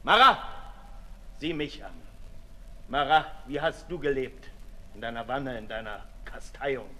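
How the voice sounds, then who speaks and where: A man's voice: mid-range voice, unhurried, sharp tone. A man playing a cynical, disillusioned aristocrat writer in a 1960s German radio drama, theatrical and mocking. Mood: challenging, scornful. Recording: echoey hall, noisy 1960s radio recording.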